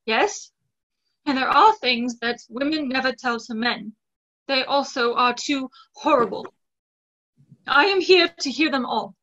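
A young woman playing an earnest, resolute gentlewoman in love in a stage play reading, composed and carefully enunciated, over a video call. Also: high voice, a little slow. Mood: pleading, insistent. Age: young adult. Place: small room at home, video call. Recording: dull video-call audio.

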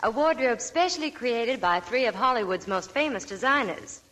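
Animated woman in a 1940s radio ad. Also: on the high side, moderate pace. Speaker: Hollywood reporter in a soap advertisement.

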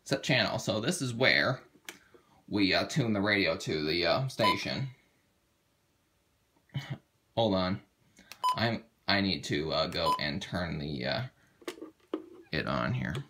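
A man with a low voice and lively inflection walks through a how-to in a casual, unscripted way. He speaks haltingly, thinking aloud as he goes. The home recording is very noisy, made indoors at a table. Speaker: product demonstrator. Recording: very noisy home video, clicks and beeps.

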